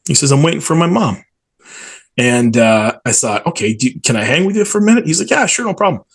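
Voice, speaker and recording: A man's voice: a little high, big pitch swings, a little fast, vocal fry, husky. A man in his forties telling stories casually and enthusiastically as an interview guest, in colloquial language. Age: 40s. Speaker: stadium PA announcer, interview guest.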